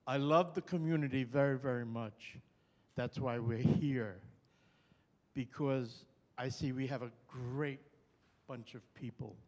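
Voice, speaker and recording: An older man with a Brooklyn accent, loud and chatty, introducing himself and his partner at a community meeting. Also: medium pitch, a little slow, lo-fi sound. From New York. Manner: loud, chatty, self-deprecating. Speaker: new neighborhood representative. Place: chapel hall. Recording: reverberant hall.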